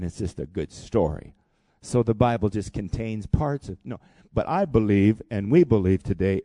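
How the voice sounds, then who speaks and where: A man in his sixties preaching a sermon in church, animated and calling for responses from the congregation. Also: on the low side, no echo. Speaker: pastor. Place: church hall.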